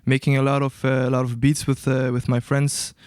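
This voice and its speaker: Smooth-voiced young man, hesitant and searching. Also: medium pitch, monotone, brisk, a little mellow, no room sound, clean studio sound. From Albania. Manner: hesitant, searching for words, many restarts. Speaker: guest musician. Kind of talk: casual interview answers.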